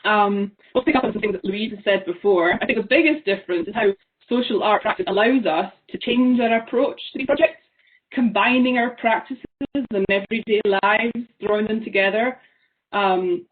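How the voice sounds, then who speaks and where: A young woman from the Isle of Lewis with a Scottish accent, giving an online seminar talk, thoughtful and full of ums. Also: medium pitch. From Isle of Lewis, Scotland. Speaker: seminar speaker. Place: small room at home. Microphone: laptop webcam on a video call. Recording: tinny phone-like video call.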